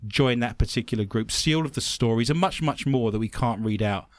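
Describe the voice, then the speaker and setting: A man from London with an English accent, hosting a radio talk show in a chatty, animated way and laughing often. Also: mid-range voice, fairly quick, no echo, clean studio sound. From London, England.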